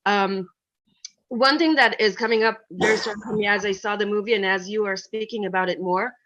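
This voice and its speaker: A woman's voice: medium pitch, fairly even intonation, a little fast, fairly bright tone, muffled recording. A woman from Israel, a former peace activist, asking an earnest, reflective question on a video call. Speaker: audience member asking a question. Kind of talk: thoughtful spoken question.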